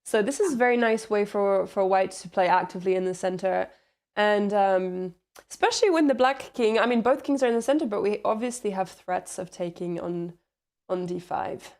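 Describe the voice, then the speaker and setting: A woman walks through chess moves as the main commentator. Her voice sits at a middle pitch, and her delivery is chatty and explanatory, with light laughs. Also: moderate pace, a little mellow.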